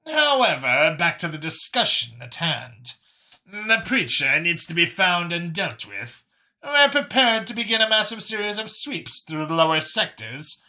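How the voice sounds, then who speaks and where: A composed alien woman who chairs a council, acted by a male narrator, speaks with formal authority. The voice is very bright and forceful, with animated pitch that lends emphasis to measured sentences in a science fiction audiobook. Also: heavily acted.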